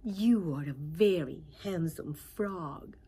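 A woman voicing a self-assured, patient female caterpillar in a children's storybook, explaining things in a measured way. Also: sits low, dark tone, a little hiss or hum. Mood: kindly.

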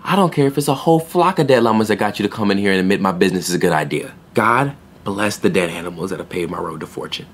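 A young male shop clerk in an audio play, proud and guarded. His lowish voice is husky, with lively swings of pitch and a blunt, sardonic bite. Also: fast talker, hardly any pauses, heavily acted. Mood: blunt, assertive. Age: young adult. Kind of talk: naturalistic audio drama acting.

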